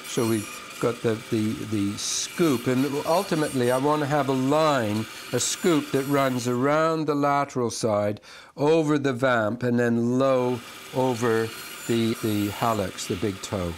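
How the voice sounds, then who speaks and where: An older man who carves shoe lasts, explaining his work calmly and step by step with frequent restarts while he cuts wood at a band saw in his workshop. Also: mid-range voice, a little slow. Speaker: shoemaker and last carver. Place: workshop, indoors, next to a running band saw. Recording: clear power tool noise behind the voice.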